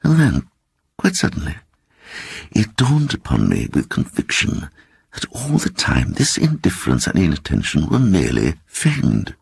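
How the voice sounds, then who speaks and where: An actor voicing a timid little man who earnestly and softly recounts an eerie adventure in a dramatic audiobook reading. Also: sits low, unhurried, gravelly, husky, no room sound, studio quality, heavily acted. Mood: intense, uneasy. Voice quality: soft voice. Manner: hesitant, earnest, growing excited.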